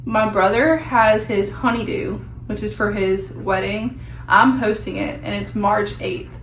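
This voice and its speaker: Hoarse woman chatting to camera. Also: mid-range voice, steady tone, measured pace, fairly bright tone, roomy sound, a little hiss or hum, low-quality recording. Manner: chatty, rambling, filler-heavy. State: hoarse, voice nearly gone. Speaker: vlogger. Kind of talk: casual chat to camera. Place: at home indoors.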